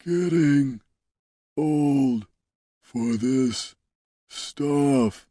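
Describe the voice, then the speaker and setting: Slow, weary old dying ogre. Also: male voice, mid-range voice, warm tone, no echo, studio quality, pitched above the performer's own voice. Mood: weary, lamenting. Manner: halting, laboured delivery with broken grammar.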